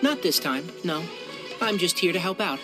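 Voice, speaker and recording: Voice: mid-range voice, expressive, normal speed, music in the background, close to an everyday voice. An actor's game voice for a knowledgeable, busy young male plant expert, articulate and explanatory. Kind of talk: video game voice acting.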